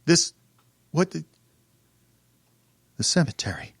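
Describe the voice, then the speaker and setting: A man's voice: a little high, expressive, takes it slowly, gravelly, a bit bright, husky, no room sound, heavily acted. An actor playing an irascible, domineering rich older man, imperious and sputtering, who turns cowardly under threat, in old-time radio horror style. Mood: alarmed, demanding. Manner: blustering, imperious, sputtering and stammering.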